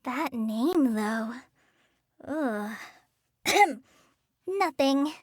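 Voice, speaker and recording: A woman's voice: high voice, expressive, dry recording, studio quality, heavily acted. A woman voicing a shy, earnest teenage girl in a video game, hesitant and apologetic in the way she talks. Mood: hesitant, modest. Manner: hesitant, apologetic, soft-hearted, halting with stammers. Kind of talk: video game character voice lines.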